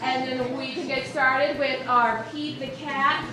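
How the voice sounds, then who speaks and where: A woman running a library puppet show for children, animated and talking straight to the kids as she counts aloud. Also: sits high, unhurried, very noisy. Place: large library room with children. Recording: echoey room from some distance, kids around.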